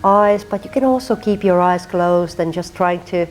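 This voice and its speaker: A woman from Finland with a Finnish accent, teaching a gentle yin yoga class and softly cueing breath and movement. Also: lowish voice, moderate pace, poor audio. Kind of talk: guided yin yoga instruction.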